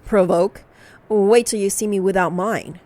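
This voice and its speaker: Casting: female actor as demanding, sassy tourist wife, medium-pitched, tart and haughty, horror audio drama. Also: steady tone, a little slow, dark tone, close to an everyday voice.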